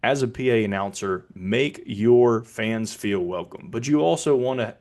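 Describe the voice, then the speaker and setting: An American man who works as a public address announcer, giving an instructive talk in a measured, deliberate way. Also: mid-range voice, a little mellow. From Birmingham, Alabama, United States. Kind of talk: explanatory talk with announcing demonstrations.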